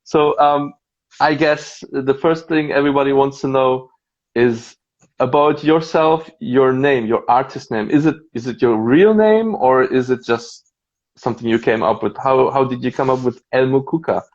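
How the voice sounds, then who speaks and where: A man's voice: mid-range voice, normal speed, highs cut off. A man with a German accent, hosting a casual livestream interview, chatty and laughing often with many fillers. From half German, half Japanese. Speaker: label host. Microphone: phone livestream.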